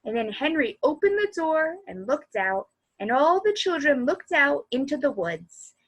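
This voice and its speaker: Female children's storyteller, high voice with lively swings, expressive read-aloud that speaks to young listeners. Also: a little slow, highs cut off. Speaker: children's librarian reading aloud.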